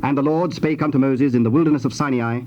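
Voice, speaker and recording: A man narrating dramatized scripture in a formal, measured manner. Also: mid-range voice, fairly even intonation. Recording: dull, noisy cassette tape.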